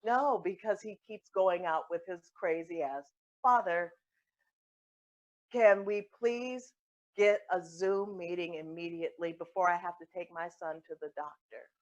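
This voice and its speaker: A woman playing a frazzled, sarcastic mother in an improvised comedy sketch, speaking in a halting, conversational way. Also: fairly low pitch, dull audio, natural voice. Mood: pleading.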